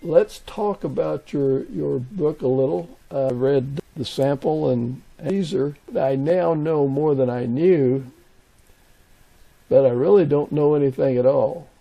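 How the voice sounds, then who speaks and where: A man hosting a conversational podcast interview, phrasing his questions and comments haltingly. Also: fairly high pitch, vocal fry, highs cut off.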